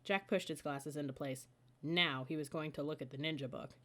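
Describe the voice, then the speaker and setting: A woman's voice: sits low, fairly even intonation, normal speed, a bit bright. A woman narrating a children's storybook as an audiobook reader.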